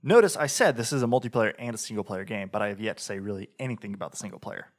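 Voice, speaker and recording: A man's voice: fairly low pitch, rapid-fire. Rambling male podcast co-host. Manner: rambling with many fillers and restarts. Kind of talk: casual game review chat.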